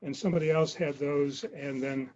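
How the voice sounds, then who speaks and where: A man's voice: a little high, fairly even intonation, normal speed, a little mellow. An older man, a club member, casually reminiscing on a video call and piecing details together as he goes. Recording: dull, noisy video-call audio.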